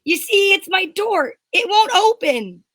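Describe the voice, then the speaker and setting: A woman's voice: very high voice, big pitch swings, measured pace, fairly bright tone, no room sound, studio quality, exaggerated voice. A woman playing a feisty, indignant young mademoiselle, pert and flustered, in an amateur 1940s radio mystery reading.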